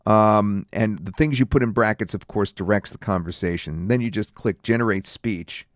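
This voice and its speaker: Casual man demoing software. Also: lowish voice, normal speed, no echo, studio quality. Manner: casual, filler-heavy talk. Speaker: software reviewer. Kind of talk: screen-recorded software demo.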